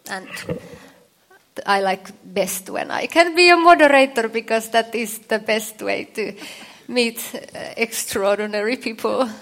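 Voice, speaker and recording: A woman from Finland, moderating a convention panel and pausing often to search for words. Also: a little high, a bit bright. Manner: hesitant, many fillers and restarts.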